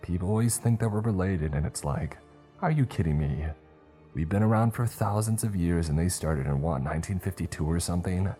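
A man's voice: deep voice, fairly quick, dark tone, soft background music, natural voice. A man voicing a boastful, theatrical cult witch who shrieks and rambles. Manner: shrieking, chatty villain.

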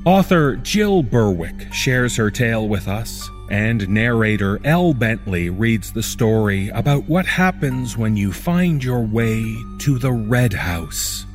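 A man hosting a horror podcast, reading a scripted introduction in a measured, deliberate way. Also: medium pitch, sing-song, soft background music. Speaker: podcast host.

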